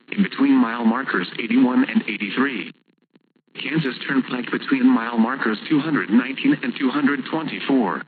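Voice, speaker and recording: A synthetic male announcer reads a scripted emergency weather bulletin. His voice is mid-pitched and impersonal, with measured, formal phrasing. It comes through a narrow, phone-like radio band with clearly audible noise.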